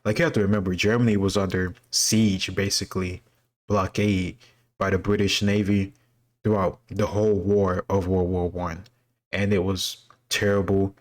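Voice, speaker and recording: A man giving casual, explanatory commentary and thinking aloud as he answers quiz questions, opinionated and repeating himself for emphasis. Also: sits low.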